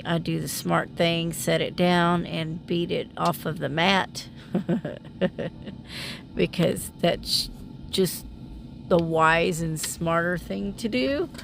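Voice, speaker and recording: A woman's voice: fairly low pitch, creaky, slight background noise. A woman vlogger narrating her beading in a casual voiceover, with a rambling, self-deprecating way of talking and a stuffy, sniffly nose.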